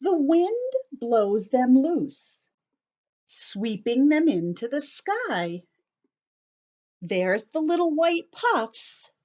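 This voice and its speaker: A woman reading a storybook aloud to young children in an animated, teacherly way, stopping to explain simply and ask questions. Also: sits high, extremely slow, pauses often, highs cut off.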